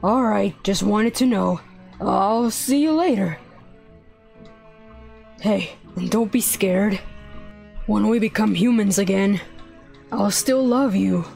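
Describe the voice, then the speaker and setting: A woman's voice: mid-range voice, slow, vocal fry, long pauses, a little mellow. A woman voicing a laid-back, affectionate young male villain boyfriend in a game roleplay. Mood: casual, affectionate. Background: music.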